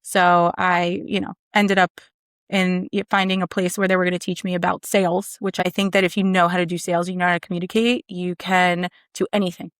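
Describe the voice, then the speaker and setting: A woman's voice: lowish voice, little pitch movement, a little fast, clear, resonant tone, no echo, clean studio sound. A woman in her thirties from Maryland, a podcast guest telling her story in a confident, chatty way. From Maryland, USA. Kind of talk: casual conversation.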